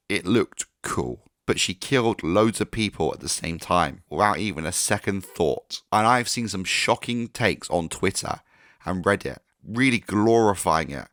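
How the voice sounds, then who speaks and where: A man with a hoarse voice giving a frank, critical TV review. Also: deep voice, fairly bright tone, no room sound, clean studio sound. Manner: frank and critical, mild swearing. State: hoarse, recovering from a sore throat. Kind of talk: opinionated casual review.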